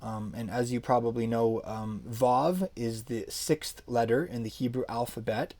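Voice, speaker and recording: A man's voice: mid-range voice, measured pace, dark tone. A man giving an informal explanatory lesson as a language teacher, talking conversationally with emphatic asides. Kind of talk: informal explanatory lecture, reviewing a book.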